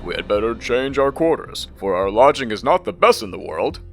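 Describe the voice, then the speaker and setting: A man's voice: mid-range voice, sing-song, fairly quick, vocal fry, a bit bright, soft background music. Man doing a hearty old storybook donkey, swooping delivery. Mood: musing. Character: genial old donkey, grandly hearty would-be musician. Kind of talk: fairy tale character voice.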